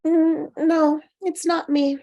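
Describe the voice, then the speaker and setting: A woman's voice: sits high, little pitch movement, slow, mellow, no room sound. A woman in a comedy table read playing a drugged, loopy airline passenger with a woozy, rambling drawl. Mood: petulant.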